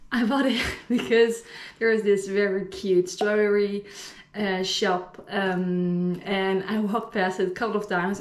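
Giggly young woman in echoey kitchen. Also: medium pitch. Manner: chatty, giggly, self-deprecating. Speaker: vlogger. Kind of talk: casual food-tasting vlog. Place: home kitchen. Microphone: camera at arm's length. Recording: echoey room.

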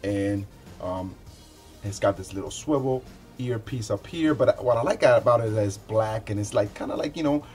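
A man in his forties giving a casual, unscripted product review in a conversational, halting way, often repeating words as he goes. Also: fairly low pitch, darkish tone, faint music behind. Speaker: product reviewer, show host. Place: small indoor room with shelves.

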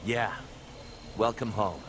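A man's voice: fairly low pitch, slow pace, a crowd in the background. A man voicing a brash, blunt young American assassin in a video game cutscene. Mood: solemn. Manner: cocky, blunt, casual. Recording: clear background noise.

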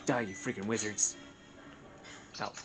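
A man's voice: fairly low pitch, fairly even intonation, measured pace. A man playing a video game and commenting casually as he goes, co-hosting. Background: video game music.

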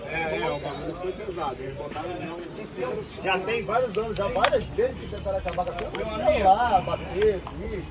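Distant market vendor in a crowd. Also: male voice, sits high, a little fast, pauses often. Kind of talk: casual chat with a customer. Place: outdoor street flea market. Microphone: off-mic, picked up by the vlogger's phone.

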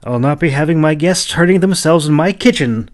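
A man's voice: on the high side, a little fast, pitched above the performer's own voice, natural voice. A man voicing a frail, fussy old woman who scolds and cares, in an audiobook fantasy story. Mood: scolding. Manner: fussing, exclaiming, scolding.

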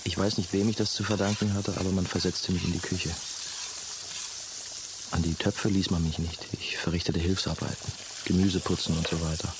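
An actor playing a brooding, haunted former novice, confiding his story in measured, terse radio drama narration. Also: deep voice, level tone, background noise, lightly acted. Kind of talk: first-person radio drama monologue.

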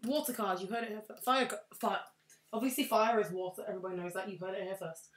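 A young woman casually commentating a game, excitable and prone to shouting at wins and losses. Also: mid-range voice, fast. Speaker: let's play host. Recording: roomy echo.

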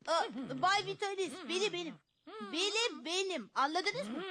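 A woman's voice: very high-pitched, animated, moderate pace. A woman in a cartoon dub voicing a small boy who is earnest, worried and polite, often pleading. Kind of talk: animated cartoon dub acting.

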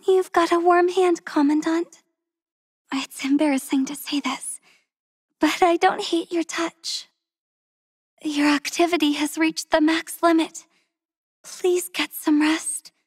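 Soft, tender game heroine, young and devoted, high-pitched, slow and breathy, dry studio sound. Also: female voice, no echo. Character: gentle devoted young woman healer, soft-spoken and tender. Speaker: voice actress for a game character. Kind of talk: game character voice lines.

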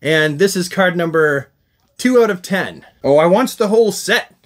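A middle-aged man presents an unboxing review in a casual, excitable way. His voice sits a little high for a man and swings widely in pitch, with animated exclamations throughout. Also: normal speed. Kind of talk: casual enthusiastic unboxing vlog. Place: small indoor room lined with shelves of collectibles.

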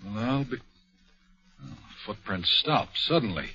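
An actor playing a blunt, practical police inspector who talks plainly, in a 1940s radio drama. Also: male voice, medium pitch, animated, measured pace, pushed and bright. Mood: matter-of-fact. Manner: plain-spoken, matter-of-fact. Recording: muffled old radio broadcast.

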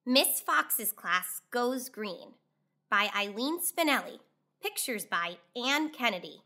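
A woman in her thirties hosting a children's read-aloud, narrating expressively with clear, deliberate delivery. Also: fairly high pitch, lively intonation, pushed and bright.

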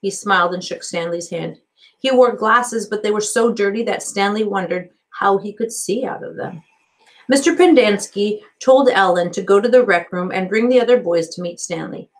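A middle-aged woman narrates a story like a teacher reading to students. Her pitch is average and her reading is careful. She is recorded on a computer microphone in a small office. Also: normal speed, rough recording. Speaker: teacher reading aloud to a class. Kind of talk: storybook narration, read aloud. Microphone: computer microphone on a video call.

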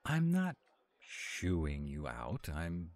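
A man playing a grumpy, self-doubting were-dragon cook in a roleplay audio drama, gruff but tender and grumbling reluctantly. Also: low-pitched, wildly swooping pitch, drawn-out words, a little mellow, no echo, full character voice.

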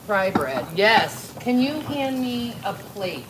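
A woman's voice: on the high side, sing-song, slow. Woman cooking in a noisy kitchen. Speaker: home cook. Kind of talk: casual cooking chat. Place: home kitchen, indoors. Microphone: phone camera across the counter. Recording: noisy kitchen with sizzling oil.

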